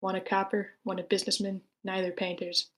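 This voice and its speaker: A woman's voice: medium pitch, monotone, fairly quick, a bit bright. Female narrator, middle register, scripted screenplay narration for a table read. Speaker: narrator reading stage directions.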